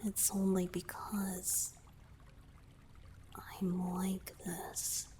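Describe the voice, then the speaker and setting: Woman voicing a slow, soft-spoken young merman boyfriend. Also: mid-range voice, completely flat delivery. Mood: shy, tender. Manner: soft, hesitant, intimate, often dropping to whispers. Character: young male merman boyfriend, shy, teasing, affectionate. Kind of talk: romantic ASMR roleplay.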